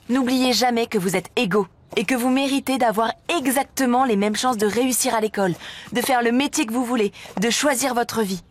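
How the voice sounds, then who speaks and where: A woman's voice: on the high side, natural voice. A woman playing a friendly cartoon presenter who explains things to children in a didactic, encouraging, scripted way. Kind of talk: scripted educational cartoon narration.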